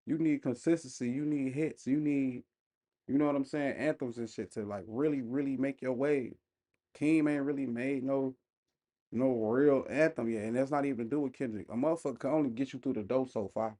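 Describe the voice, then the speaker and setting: A man's voice: mid-range voice, a little fast, dark tone. A man giving casual, off-the-cuff reaction commentary, speaking in emphatic slang straight to his viewers. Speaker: reaction video host.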